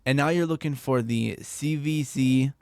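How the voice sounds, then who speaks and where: Young dude, stuffy nose, rambly laid-back tech tutorial, mid pitch, super dry mic. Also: male voice, a little slow, darkish tone, clean tone, no room sound. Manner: rambling, laid-back, stumbles and laughs at own slips. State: stuffy, congested voice. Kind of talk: casual screen-recorded tutorial.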